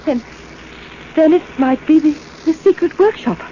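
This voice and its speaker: A woman's voice: high voice, normal speed, dark tone, natural voice. A woman playing a wry, plucky lady sleuth's companion in a 1940s radio drama. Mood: uneasy. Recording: muffled, hissy old radio recording.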